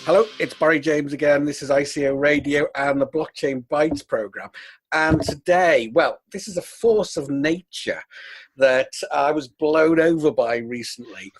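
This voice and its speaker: A man hosting a podcast interview, introducing his guest in a halting, chatty way. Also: on the high side, no echo, soft background music, rough recording. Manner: halting, chatty, with fillers and restarts. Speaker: podcast host.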